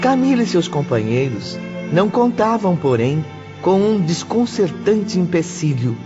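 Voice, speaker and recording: A man reading scripted audiobook narration in a formal, literary manner. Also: medium pitch. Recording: long reverb, dull sound, music behind.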